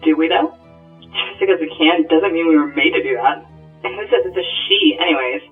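A man's voice: a little high, fairly quick. A man voicing an irreverent, blunt little robotic ghost companion with a flippant manner and a radio-like filter, in an audio drama. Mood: sarcastic, dismissive. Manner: sarcastic, flippant. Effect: thin radio-like filter. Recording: thin phone-like filtered sound, distant, music.

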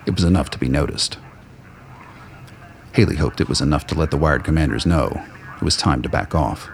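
Deep-voiced man narrating a drama. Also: brisk. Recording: noise, ambient sound effects behind.

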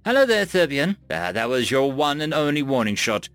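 A man's voice: medium pitch, big pitch swings, fairly bright tone, no room sound. Man doing a coolly menacing human captain. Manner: unhurried, offhand, coolly menacing. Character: laid-back human cargo ship captain, calmly threatening.

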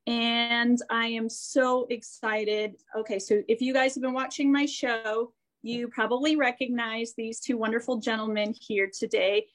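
A woman's voice: on the high side, fairly even intonation, unhurried, a bit bright. An American woman hosting an interview show, chatty and upbeat, laughing easily as she introduces her guests. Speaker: host and therapist.

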